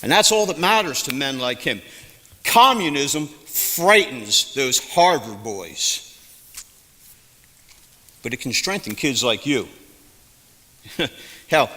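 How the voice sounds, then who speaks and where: An actor playing a middle-aged drugstore owner and war veteran from the early sixties, folksy and prone to ranting. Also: male voice, on the high side, pushed and bright, exaggerated voice. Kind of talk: student stage play. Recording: reverberant hall.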